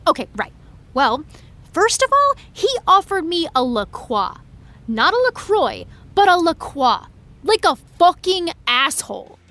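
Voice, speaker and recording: Indignant young woman, high voice, animated swoops, sarcastic, emphatic, audio drama. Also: unhurried, full character voice. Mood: indignant rant. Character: upset, indignant young female fan venting to her friend. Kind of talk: naturalistic audio drama acting.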